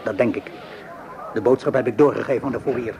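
An actor playing a middle-aged, cynical Parisian tavern keeper in a radio play, speaking in a weary, sardonic, measured way. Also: male voice, mid-range voice, darkish tone, a faint crowd, lightly acted. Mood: guarded. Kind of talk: Dutch radio play acting. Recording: noisy old radio play recording.

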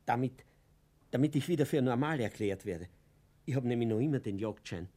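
Casting: man playing elderly Viennese petitioner, humble, anxious, halting, mid-pitched voice, radio drama. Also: lively intonation, dark tone, natural voice. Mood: pleading. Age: older. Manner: hesitant, pleading. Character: humble, anxious old man from Vienna pleading to be declared sane. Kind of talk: German radio play acting.

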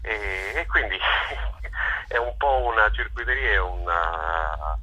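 A man being interviewed as an event organizer, speaking unscripted and hesitantly, often pausing to search for words. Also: fairly low pitch, pushed and bright, poor audio.

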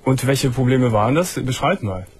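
A man's voice: medium pitch, animated, moderate pace, a little mellow, husky. A man hosting a late-night radio call-in show, asking the caller teasing, probing questions. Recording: radio broadcast.

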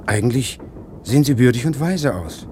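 A man's voice: mid-range voice, expressive, moderate pace, background noise, natural voice. An actor playing a polite, well-meaning man giving emphatic moral advice in a radio play. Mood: admonishing. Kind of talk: 1960s German radio play acting.

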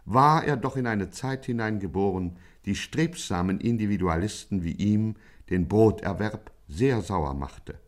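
An actor playing a pompous, mock-heroic narrator in a 1950s radio play, declaiming dramatically. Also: male voice, lowish voice, lively intonation, a little slow, few pauses. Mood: grandly ironic. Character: pompous mock-heroic male chronicler of a gangster.

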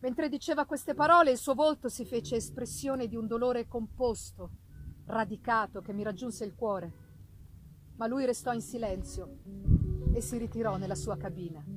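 A woman reading a literary novel aloud as dramatic narration, measured and expressive, with deliberate pauses. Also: sits high. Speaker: reader of a novel. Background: live musical accompaniment announced for the reading.

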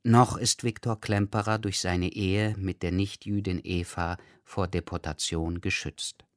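Male radio narrator, low register, factual and sober, dry studio sound. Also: steady tone, a little slow, dry recording. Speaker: announcer introducing a radio play. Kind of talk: scripted narration.

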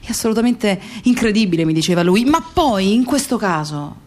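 A woman's voice: medium pitch, animated, a little fast, darkish tone, lightly acted. Emphatic, anguished idealist played by a woman. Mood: reflective. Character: anguished idealist questioning bloodshed, appalled and reflective. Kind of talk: radio theatre monologue.

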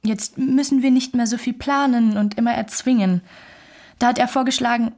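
A woman playing an earnest Chinese woman who recounts her troubled relationship in a reflective, measured way, as in a documentary radio play. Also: a little high, fairly even intonation, darkish tone, close to an everyday voice. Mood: tender, nostalgic. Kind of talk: documentary radio drama monologue.

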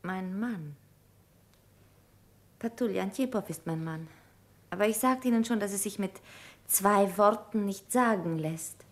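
An actress in a German radio play playing a sorrowful, composed nurse with a hushed, restrained delivery. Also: female voice, medium pitch, lightly acted. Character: sorrowful, composed female nurse, quietly resolute, married.

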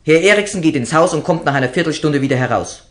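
A man's voice: a little high, expressive, fast talker, fairly bright tone. An actor in a German radio drama playing an eager, proud boy detective's helper who speaks in precise, clipped reports. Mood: businesslike, proud.

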